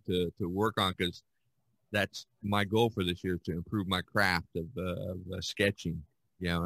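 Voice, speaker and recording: A man's voice: lowish voice, a bit bright, no room sound, poor audio. A man hosting a podcast, chatting casually in a rambling, conversational way. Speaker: podcast host. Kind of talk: casual chat.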